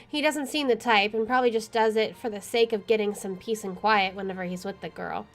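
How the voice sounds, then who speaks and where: An expressive woman playing a game, reading its narration aloud and adding casual, opinionated commentary. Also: mid-range voice, brisk. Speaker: let's player. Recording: faint music underneath.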